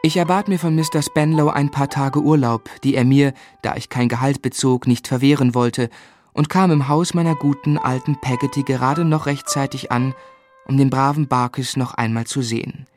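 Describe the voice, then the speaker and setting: A courteous young man , acted by a man, tells his own story in a radio play. His mid-pitched voice is affectionate and measured, with faint music behind. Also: a little mellow, lightly acted. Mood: reflective. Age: young adult. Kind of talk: literary first-person narration and radio play acting.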